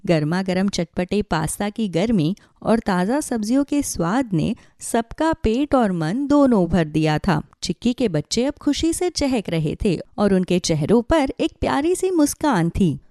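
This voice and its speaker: A woman's voice: medium pitch, sing-song, measured pace, few pauses, mellow, dry recording, clean studio sound. A woman narrating a scripted children's story.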